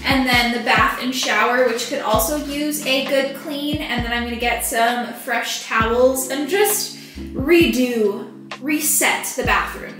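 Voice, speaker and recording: A chatty young woman with a bright, high voice narrates her cleaning day in a casual, upbeat way. She sounds a little distant in an echoey room at home, with music playing under her. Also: unhurried. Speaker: lifestyle vlogger. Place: rooms of a house, indoors. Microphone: camera on a tripod at a distance.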